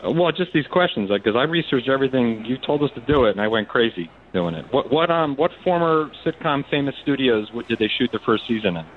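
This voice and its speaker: Fast-talking male caller on a dull line. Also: mid-range voice, animated, a little hiss or hum. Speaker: radio show caller. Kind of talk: casual call-in chat, quizzing the host. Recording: muffled phone-in line.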